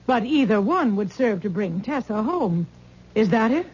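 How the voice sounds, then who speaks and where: An actress playing a sharp-tongued older mother and grandmother who scolds and insists, in a 1970s radio drama. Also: fairly high pitch, sing-song, normal speed, husky. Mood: scolding. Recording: dull old radio broadcast recording.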